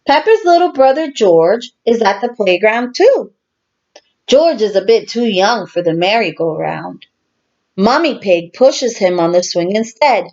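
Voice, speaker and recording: Female teacher for children's read-aloud: unhurried, very expressive, simple deliberate phrasing, lo-fi recording. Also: medium pitch. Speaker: teacher reading to her class.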